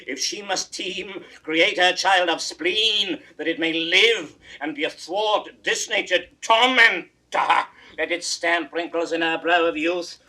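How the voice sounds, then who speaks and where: An actor playing a proud, raging old king in a classical 1960s Shakespeare audio drama, grand and declamatory, swinging from hushed to roaring. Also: high-pitched, unhurried, few pauses, distant mic, exaggerated voice, poor audio. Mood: furious, cursing. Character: aged king, proud, raging at his daughter.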